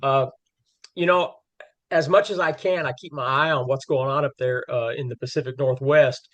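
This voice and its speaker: A man hosting an interview over a video call, friendly and halting, with many fillers. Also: mid-range voice, fairly even intonation, a bit bright, muffled recording. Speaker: interview host.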